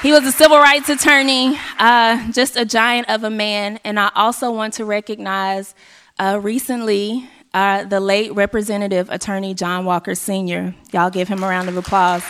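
A hoarse woman from Arkansas, hosting a live event on a stage mic and rallying the audience with call and response. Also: mid-range voice, slight background noise. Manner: energetic, rallying the crowd. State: hoarse, losing her voice. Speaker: event emcee. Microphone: handheld stage mic. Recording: live hall with faint audience.

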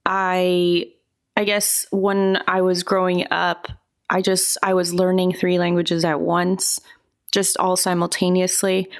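Low-voiced woman answering thoughtfully. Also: completely flat delivery, slow pace. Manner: thoughtful, hedging with "I think". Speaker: interview guest. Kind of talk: casual interview answers.

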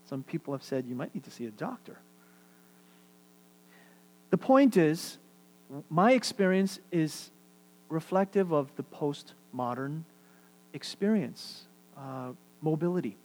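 New guy preaching, kinda high voice, goes real quiet to make a point, little hum behind. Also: expressive, unhurried, long pauses, poor audio. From New York City. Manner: earnest, repetitive, drops to near-whisper for emphasis. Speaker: pastor. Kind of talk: sermon.

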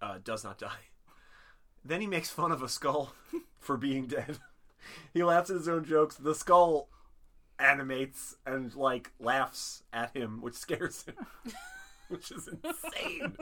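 Bright-voiced animated man. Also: mid-range voice, slow, long pauses. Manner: animated, swears a lot, acts out bits. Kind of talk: casual comedic chat recapping a cartoon movie.

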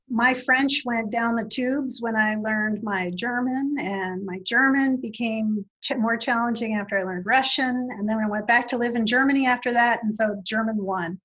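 A woman's voice: on the high side, a bit bright, low-quality recording. A woman whose first language is Italian, answering interview questions thoughtfully, with frequent fillers and restarts. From Italy. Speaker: university guest. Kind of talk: podcast interview.

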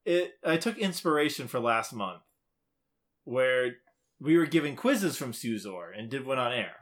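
Bright-voiced man bantering as a podcast co-host. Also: mid-range voice, animated, measured pace. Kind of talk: casual bantering podcast chat, reading out quiz questions.

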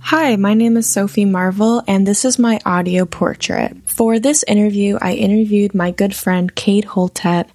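A woman's voice: medium pitch, darkish tone, dry recording. Young woman, mid-range voice, scripted intro read, dry close sound. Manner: plain, read-aloud delivery. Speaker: student producer introducing her piece.

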